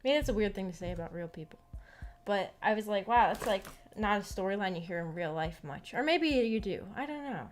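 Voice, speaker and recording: A woman's voice: medium pitch, lively intonation, a little fast, a little hiss or hum. A woman streamer chatting casually with her viewers, rambling and thinking aloud in a giggly way. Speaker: streamer hosting a visual novel book club. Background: background music.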